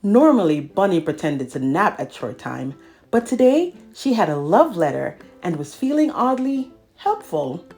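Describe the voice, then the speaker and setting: Female storyteller for a children's picture-book read-aloud. Lowish pitch, very lively intonation, music underneath. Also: measured pace. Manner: animated, addressing child listeners.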